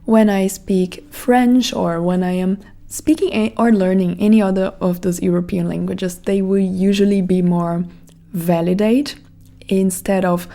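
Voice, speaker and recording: Brazilian woman with a mellow voice musing aloud. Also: medium pitch, steady tone, measured pace. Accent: Brazilian Portuguese. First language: Portuguese. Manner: reflective, rambling with many "like" fillers. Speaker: podcast host. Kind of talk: casual monologue.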